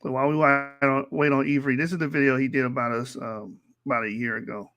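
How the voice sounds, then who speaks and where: Bright-voiced trash-talking young man. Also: medium pitch, flat delivery, quick pace, no room sound, lo-fi sound. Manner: laughs often, trash-talking, loose slang. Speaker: co-host of a reaction show. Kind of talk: casual mocking commentary.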